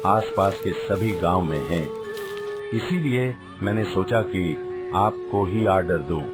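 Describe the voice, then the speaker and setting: Man playing a low-voiced generous village merchant. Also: measured pace, over loud music. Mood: businesslike, friendly. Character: generous wealthy village merchant, male, considerate customer. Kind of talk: Hindi cartoon story voice acting.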